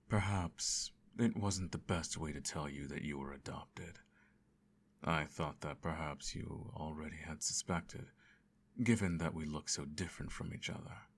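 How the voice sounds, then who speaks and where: A man playing a cold, controlling emperor and adoptive father in an ASMR bedtime roleplay, speaking formally with measured, condescending menace. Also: full character voice. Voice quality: very deep, creaky.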